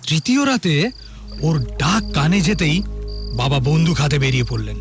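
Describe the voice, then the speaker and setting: Boastful hunter, audio drama, raised pitch, suspenseful measured storytelling, music and crickets behind. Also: male voice, animated. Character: proud male hunter and host, relishing his tales.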